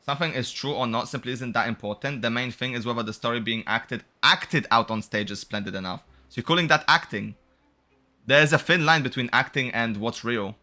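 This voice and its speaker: A man's voice: mid-range voice, fairly quick. A man doing casual, slangy gameplay commentary, reading dialogue aloud and reacting with animation as he streams. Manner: slangy, animated reactions, stumbles over foreign names. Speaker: game streamer playing and reacting.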